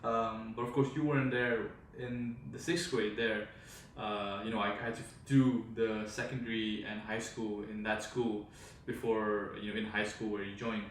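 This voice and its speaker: A man's voice: on the low side, flat delivery, normal speed, hardly any pauses. A man in his twenties from India, co-hosting a casual podcast at a friend's home, chatty and joking, often restarting his sentences. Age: 20s. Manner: chatty, self-interrupting, joking. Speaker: podcast co-host. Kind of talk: casual chat. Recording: echoey room, distant mic, faint noise.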